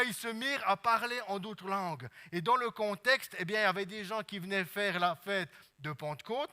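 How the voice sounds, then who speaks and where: Bright high-voiced Swiss French preacher. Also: male voice. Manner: preaching with many amens and hallelujahs, swinging between hushed and loud. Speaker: pastor. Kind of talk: sermon.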